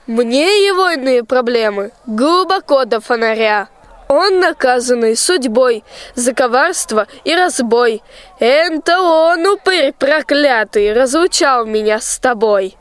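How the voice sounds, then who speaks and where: A woman in a radio play acting a resolute young bride, declaiming earnest, measured rhymed verse. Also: high-pitched, lively intonation, clear, resonant tone, dry recording. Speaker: school student actor.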